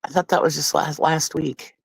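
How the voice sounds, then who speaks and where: A woman's voice: deep voice, steady tone, moderate pace, creaky, slightly rough, no room sound. A woman with a stuffy nose from a cold, hosting a casual livestream and chatting bluntly with viewers, often laughing and swearing. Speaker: livestream commentary host.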